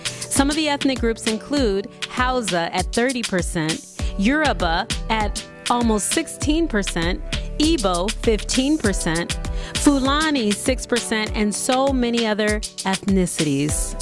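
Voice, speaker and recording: A woman's voice: a little high, lively intonation, a little slow. Woman narrating over a music bed. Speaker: host and narrator. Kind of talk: scripted narration.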